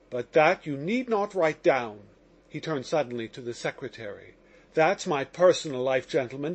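A man's voice: a little high, darkish tone. A man voicing an agitated, slightly drunk young man under suspicion, talking nervously and effusively. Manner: nervous, rapid, pleading.

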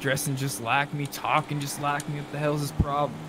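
Higher-voiced man bantering in a game. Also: level tone, fairly quick, background noise. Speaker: gamer, roleplay streamer. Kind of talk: casual in-game roleplay banter.

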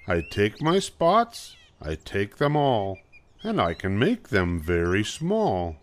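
An older man voicing an eager, showy spotted animal in a picture book, with slow, emphatic, singsong delivery. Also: mid-range voice, heavily acted. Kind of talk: picture book read-aloud.

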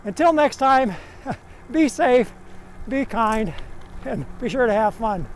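An older man talks to a bike-mounted camera as he rides along an outdoor road, his voice strikingly high. He speaks plainly and easily, with a faint hum of traffic behind him. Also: expressive, slow. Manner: easygoing, plain-spoken, chuckling sign-off. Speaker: vlogger. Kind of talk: casual narration to camera. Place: outdoors on a country road, riding a bicycle.

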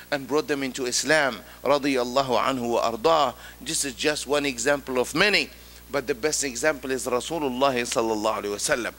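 A middle-aged man giving a Friday sermon as imam, emphatic, rising on key words and dropping low after, quoting Arabic quickly. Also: sharp tone. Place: large mosque prayer hall. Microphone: stand mic. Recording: long reverb of a large hall.

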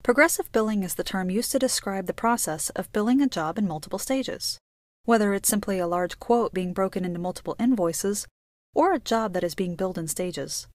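A woman's voice: mid-range voice, fairly quick, dry recording. Woman narrating a tutorial, dry close sound. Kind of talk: scripted instructional narration.

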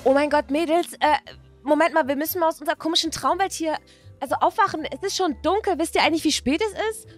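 A woman voicing an excitable, anxious teenage schoolgirl in a toy-video story, chatty, slangy and dramatic. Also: high-pitched, fast talker, soft background music, close to an everyday voice. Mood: flustered.

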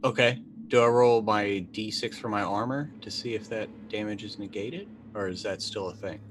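A man in his thirties chatting casually and jokily as a tabletop game player, animated and stammering as he improvises. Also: lowish voice, moderate pace. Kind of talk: casual, joking game chat. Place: indoor room on a video call. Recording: faint noise, ambient sound behind.

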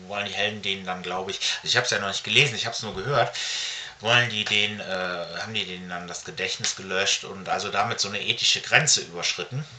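A middle-aged man talking casually to camera as a comic collector, halting often with filled pauses, recorded in a room at home. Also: deep voice, pushed and bright. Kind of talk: casual show-and-tell to camera. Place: small furnished room at home, indoors. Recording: faint background noise.

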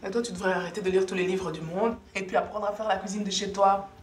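Actress as blunt scolding housewife, roomy sound. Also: female voice, mid-range voice, little pitch movement, fast, natural voice. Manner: blunt, challenging. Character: blunt, scolding housewife impatient with intellectual talk. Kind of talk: naturalistic film dialogue. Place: dining room at a dinner table. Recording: roomy interior with music behind.

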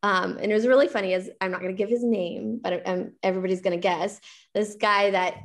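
A woman's voice: mid-range voice, steady tone, fast talker, creaky. A woman in her thirties chatting casually and enthusiastically as an interview guest and fitness coach on a video call. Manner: enthusiastic, conversational. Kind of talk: casual chat.

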